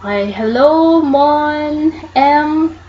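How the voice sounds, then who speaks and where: A woman with a Filipino accent walking viewers through an online process, explaining slowly and patiently while mixing English into Tagalog. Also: high voice, muffled recording, slight background noise. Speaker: tutorial host. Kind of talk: casual screen-recorded walkthrough.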